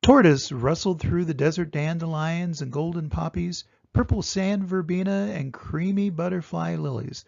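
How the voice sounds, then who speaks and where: An older man reading a children's story aloud with expressive, dramatic emphasis. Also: a little high, moderate pace, gravelly, few pauses, dry recording, muffled recording. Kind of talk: animated children's storybook narration.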